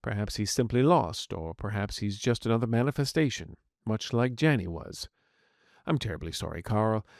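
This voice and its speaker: A man voicing a civil, apologetic peacemaker, an inner personality in an audio story, speaking in a measured, genteel way. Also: fairly low pitch, expressive, gravelly, darkish tone, no echo, clean studio sound, voice pushed down. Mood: apologetic, reproving. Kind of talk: scripted audiobook character acting.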